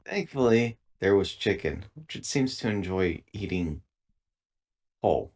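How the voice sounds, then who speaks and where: A man with a very low voice speaks in character during a tabletop game. His wording is formal and deliberate, delivered as measured roleplay. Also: expressive, a little mellow.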